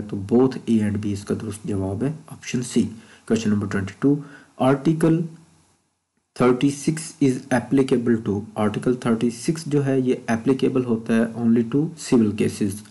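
A man with a Pakistani accent teaches at a slow, measured pace. His voice is mellow and soft-edged, at a middling pitch. He reads out test questions and explains each answer, switching between Urdu and English. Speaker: law test-prep instructor. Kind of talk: explanatory lesson, reading out quiz questions and answers.

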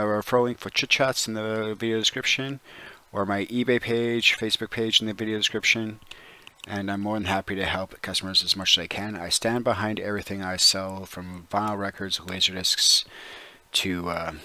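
A man's voice: on the low side, sharp tone, clean tone. A man from Canada hosting a casual voice-over vlog, easygoing and rambling, with many fillers. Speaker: online seller, channel host.

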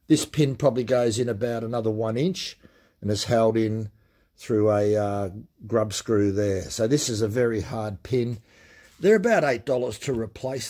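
A middle-aged man talks through a hands-on workshop demonstration, plain-spoken and practical, with plenty of fillers. His voice is husky and mellow. He is picked up by the camera filming his hands at the bench. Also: mid-range voice. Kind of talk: casual hands-on tool demonstration. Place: indoor workshop at a cluttered workbench. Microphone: camera mic filming his hands up close.

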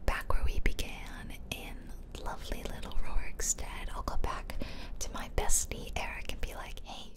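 Female ASMR gamer, whispered close-mic delivery, chatty and informal, rambling gameplay commentary. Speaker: video game streamer, ASMR host. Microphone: close microphone, ear-to-ear whispering.

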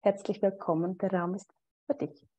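A woman's voice: mid-range voice, fairly even intonation, normal speed, mellow, dull audio. A woman introducing the guest speaker on a video call, hesitant and using many fillers. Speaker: group member introducing the speaker.

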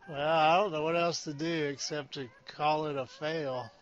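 A man's voice: on the high side, fairly even intonation, moderate pace. An older man giving casual gameplay commentary at home, thinking aloud, mocking himself and cursing mildly. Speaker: let's play gamer. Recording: dull lo-fi home recording.

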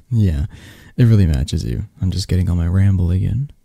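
A man playing a possessive, flirty mafia boss who is a tender lover, in a soft, intimate, halting ASMR romance roleplay. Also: low-pitched, expressive, creaky, no echo, studio quality, full character voice. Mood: affectionate, flirty.

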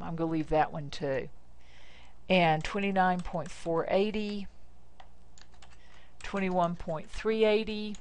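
Low-pitched American woman giving a tutorial. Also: darkish tone, smooth. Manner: informal, instructional, short deliberate phrases. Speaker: software demonstrator. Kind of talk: step-by-step screencast tutorial narration.

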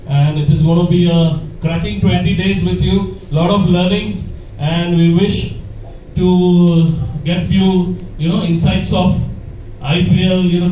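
A high-pitched man gives a polite, formal welcome at a team event, pausing on fillers now and then. He is heard from a distance in a large, very echoey hotel function room with faint ambience. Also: warm tone, slight background noise. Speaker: team representative. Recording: echoey hall, heard from far off.

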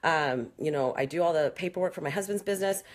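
A woman's voice: deep voice, fast. A woman in her thirties, a fitness trainer, answering questions in a frank, chatty live stream with many fillers, filmed on a phone at arm's length in a small room. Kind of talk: casual live Q&A. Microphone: phone camera at arm's length.

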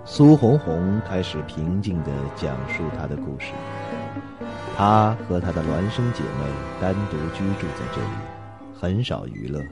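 Deep guy narrating a suspense radio play, really varied intonation, music behind. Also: sing-song, normal speed. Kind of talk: dramatic scripted narration for a suspense radio drama.

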